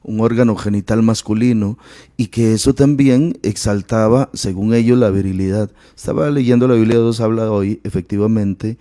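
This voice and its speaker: A man taking part in a radio Bible discussion as a pastor, speaking slowly and carefully and often giving short assents. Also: lowish voice, dark tone. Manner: slow, measured, with frequent short assents. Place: radio studio.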